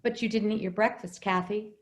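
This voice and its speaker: A woman playing a gentle, fussing nanny who coaxes and soothes with forced cheer in an old-time radio drama. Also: medium pitch, fairly even intonation, fairly quick, lightly acted. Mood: gently evasive. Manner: coaxing, soothing, falsely cheerful. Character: gentle, fussing nanny minding a little girl.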